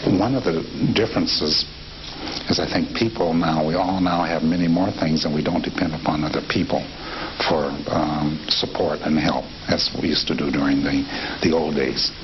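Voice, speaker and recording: Really deep voiced guy in his 50s, reminiscing slow and thoughtful, hissy old tape. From Nebraska, USA. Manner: reflective, unhurried, with frequent fillers and restarts. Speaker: interviewee. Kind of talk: relaxed oral history interview. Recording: dull lo-fi tape with steady hiss.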